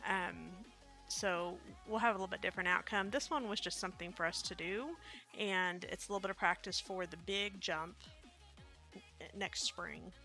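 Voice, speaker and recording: A woman's voice: on the low side, fairly even intonation, normal speed, vocal fry, sharp tone, soft background music. A woman with a Midwestern accent, chatting casually and rambling with many fillers while streaming a game. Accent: Midwestern American. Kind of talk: casual live-stream commentary.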